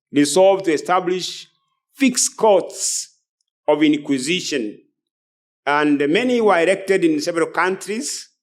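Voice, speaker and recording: A man preaching in an expository lecture style, deliberate and halting, repeating phrases for emphasis. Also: fairly high pitch. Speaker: preacher, ministry host.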